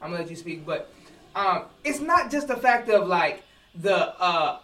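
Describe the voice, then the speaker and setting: Male podcaster, former athlete. High, forceful voice, emphatic repetition, sitting back from the microphone. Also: very animated, moderate pace, recorded from a distance, low-quality recording. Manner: emphatic, repeats phrases for stress. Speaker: podcast host; former college football player. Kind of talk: casual podcast discussion.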